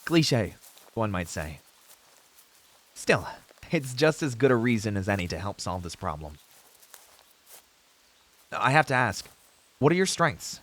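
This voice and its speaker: Novice fantasy hero, played by a man: young, male, slightly low pitch, expressive melody, earnest and idealistic, gallant wording with hesitant asides, audio roleplay. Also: measured pace, long pauses, full character voice. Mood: friendly, modest. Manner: formal, heroic storybook phrasing with hesitant asides. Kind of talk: fantasy audio roleplay acting, speaking to a companion.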